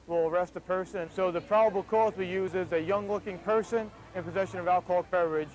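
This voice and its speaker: Male police officer, high voice, rapid delivery, matter-of-fact, procedural explanations. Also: steady tone, darkish tone, poor audio. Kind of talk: explaining procedures and instructing people on a street patrol. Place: busy street outdoors at night.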